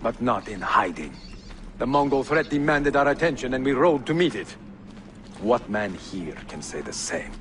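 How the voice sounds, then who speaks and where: An older man playing a proud, grieving elderly assassin master in a video game, speaking in a grave, formal, measured way. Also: mid-range voice, very animated, slight background noise. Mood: stern, resentful. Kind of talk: dramatic video game cutscene acting. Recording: loud music over the dialogue.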